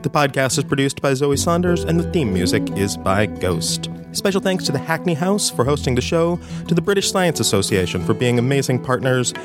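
A man's voice: medium pitch, sing-song, brisk, few pauses. A man reading a scripted podcast outro as its host and producer. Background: plucked guitar theme music.

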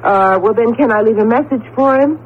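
A woman playing a professor's affectionate, brisk wife in a 1970s radio drama, with an expressive manner. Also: on the high side, close to an everyday voice. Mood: businesslike. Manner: playful scolding, expressive, affectionate. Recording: tinny old radio with background noise.

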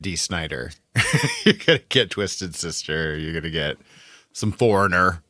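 A man's voice: sits low, big pitch swings, unhurried, sharp tone, no room sound, clean studio sound. A man co-hosting a podcast, talking casually about pop culture. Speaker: podcast co-host.

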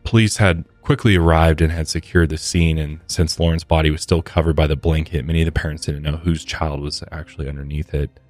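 A man hosting a podcast, telling a true crime story conversationally in a measured, matter-of-fact way with casual asides. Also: a bass voice, fairly even intonation, darkish tone, soft background music. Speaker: podcast host.

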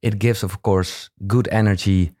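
Man, dutch accent, podcast host, lowish voice, halting with lots of ums and restarts. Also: steady tone, warm tone. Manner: hesitant, many fillers and restarts. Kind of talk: casual interview.

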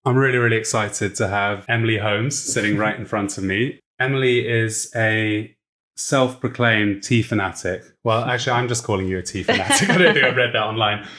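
A man hosts a podcast in a relaxed, enthusiastic way, with a fairly low voice. He talks in loose, chatty sentences full of small fillers. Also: brisk. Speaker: podcast host. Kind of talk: conversational interview.

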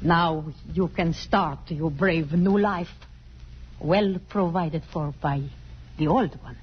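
A woman's voice: on the low side, takes it slowly, slightly rough, full character voice. An actress playing a blunt, disapproving woman friend who scolds emphatically in a theatrical radio drama style. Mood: sardonic. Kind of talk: 1950s radio drama acting. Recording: muffled, noisy old radio broadcast.

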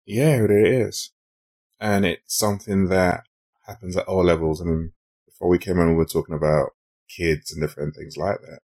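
A man's voice: deep voice, fairly even intonation, normal speed, darkish tone. A man hosting a conversational podcast interview, speaking in an earnest, encouraging way. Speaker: podcast host.

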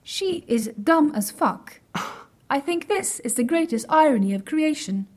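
A snooty robot ai lady, higher voice, super literal and stiff, talks down to you, audio drama. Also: expressive, a little slow. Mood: disdainful. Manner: stilted, precise, formal phrasing without contractions. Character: haughty, literal-minded female artificial intelligence, blunt and condescending.

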